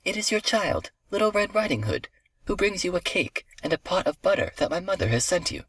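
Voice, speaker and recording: Man putting on a high, innocent little girl. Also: sing-song, fairly quick, a bit bright, husky, dry recording, exaggerated voice, rough recording. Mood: cheerful.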